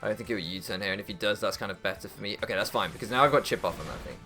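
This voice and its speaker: A man's voice: lowish voice, very fast, sharp tone, faint music behind. A young man doing casual strategy game commentary, thinking aloud and deliberating as he goes, with hesitations. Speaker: gaming YouTuber.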